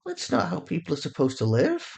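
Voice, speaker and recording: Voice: medium pitch, huge pitch swings, a little fast, vocal fry, husky, pitched above the performer's own voice, exaggerated voice. A performer voicing a defiant, pleading young woman held captive in an audio drama, urgent and argumentative. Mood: bitter, insistent. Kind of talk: acted audio fiction.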